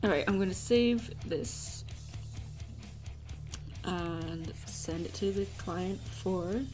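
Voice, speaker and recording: Woman, lowish voice, livestream host, casual chat, jokey, informal, soft background guitar music. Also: takes it slowly. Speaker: livestream host and artist taking commissions. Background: guitar music playlist.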